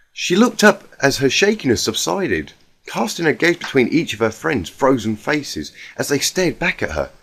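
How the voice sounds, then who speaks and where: Male story narrator, medium pitch, animated and dramatic delivery. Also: slightly rough. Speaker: fan fiction reader.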